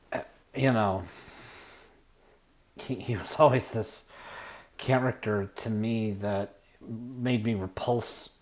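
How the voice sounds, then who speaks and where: A man telling a rambling personal story casually, halting as he searches for words and trails off. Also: fairly low pitch. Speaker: storyteller, show host.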